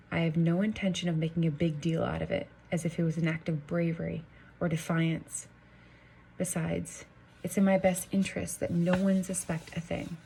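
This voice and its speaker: A woman reads a novel aloud in the first person, her voice low, dark and husky with a gravelly edge. Her delivery is measured, dry and deliberate. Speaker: audiobook narrator.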